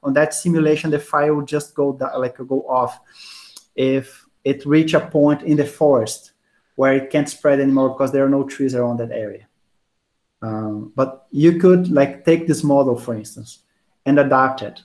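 A man in his thirties speaks English with a Brazilian Portuguese accent, giving an easygoing online university lecture. His pitch sits in a middle range, and he talks in a loose, conversational way, full of fillers and restarts. He is recorded in a small home office through a wired earphone mic on a video call. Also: moderate pace. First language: not English. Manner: relaxed, conversational, many fillers and restarts, gestures with hands. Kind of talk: casual online lecture answering student questions.

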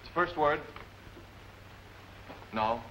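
A man guessing out loud as a contestant in a TV parlour game. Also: fairly high pitch, slow. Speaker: quiz show contestant. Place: TV studio living-room set. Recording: muffled, noisy vintage TV soundtrack.

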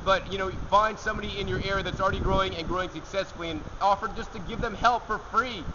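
A young man hosting a gardening show, answering viewer questions to camera outdoors in a rock alcove, energetic and gesturing as he talks. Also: sits high, fast talker, muffled recording, noisy background. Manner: energetic, talks with his hands.

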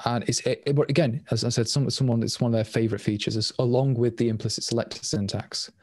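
A man talks through questions on a video call, quick and rambling, often restarting his sentences. The sound is dry and close, with no room echo. His voice sits at an ordinary middle pitch. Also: steady tone, darkish tone. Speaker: language designer presenting at a meetup. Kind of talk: casual Q&A answers. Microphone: webcam on a video call.

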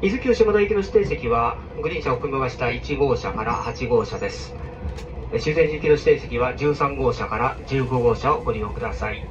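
Male train conductor, muffled PA speaker, loud train noise, formal polite announcement, measured listing. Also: mid-range voice, animated, far from the mic. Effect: train public-address speaker. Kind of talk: onboard train announcement, formal scripted reading. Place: inside a moving train carriage. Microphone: handheld camera away from the PA speaker. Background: loud train running noise.

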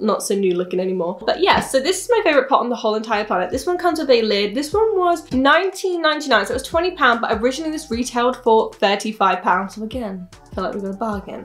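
A woman's voice: on the high side, a bit bright. A young woman, chatting casually and rambling through a shopping haul from her living room sofa. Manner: bubbly, rambling, self-deprecating. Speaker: vlogger.

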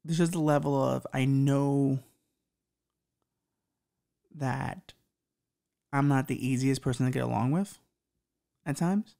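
Thirties guy w a little lisp, gravelly voice, swears a lot, rambles on. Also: mid-range voice, slow pace, long pauses, mellow. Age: 30s. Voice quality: slight lisp. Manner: profane, rambling, self-mocking. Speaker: podcast host. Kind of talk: rambling advice monologue.

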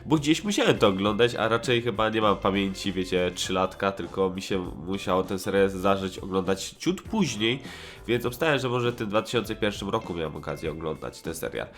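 Low-voiced young man over music. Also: expressive, brisk. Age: 20s. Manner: hesitant, self-correcting, slangy. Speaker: host of a nostalgic cartoon review show. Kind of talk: casual rambling review commentary. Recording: music playing behind the voice.

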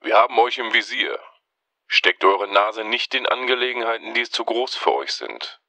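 Casting: man as scheming villain chief, forceful, mid-pitched, dry close sound, audio-drama parody. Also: moderate pace, dry recording, rough recording. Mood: threatening. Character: menacing secret conspiracy mastermind.

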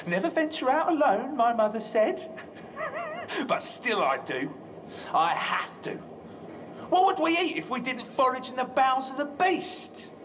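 A half-mad young male scavenger boasts in a high voice that swoops wildly. It is a sci-fi game log, recorded slightly distant with faint noise. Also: a bit bright, heavily acted. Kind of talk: dramatic game audio log monologue.

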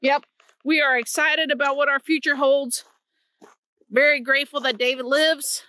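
Woman, 50s, from Missouri, high voice, outdoor phone vlog, chatty and earnest. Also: steady tone, normal speed, pushed and bright, no room sound. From Missouri, USA. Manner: chatty, earnest storytelling while hiking. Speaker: vlog host. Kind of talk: casual walking vlog. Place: outdoors in winter woods by a creek. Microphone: phone held at arm's length.

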